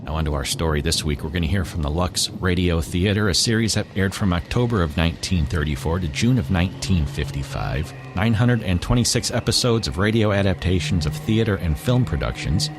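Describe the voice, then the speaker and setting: A man's voice: low-pitched, expressive, a little fast, hardly any pauses, over music. A man hosting an old-time radio program, reading a scripted podcast introduction.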